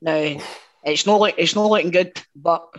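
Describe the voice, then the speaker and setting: A man's voice: on the high side, moderate pace, fairly bright tone, muffled recording. A man in his late thirties with a Scottish accent, hosting a podcast with casual, sweary, blokey banter. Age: late 30s. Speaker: podcast host.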